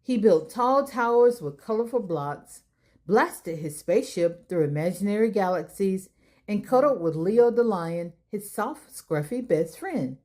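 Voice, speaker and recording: A woman hosting a children's storytime, reading aloud in an expressive way as if talking straight to young listeners. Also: mid-range voice, a little slow. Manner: expressive, addressing children directly. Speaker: storytime reader and host. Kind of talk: children's read-aloud narration.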